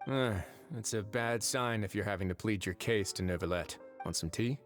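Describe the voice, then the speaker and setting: Actor as a low, wry fortress warden. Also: male voice, lightly acted. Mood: relaxed, dry. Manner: unhurried, wry, polite. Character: composed, drily witty male warden of an underwater fortress. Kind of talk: video game voice acting. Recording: music under the voice.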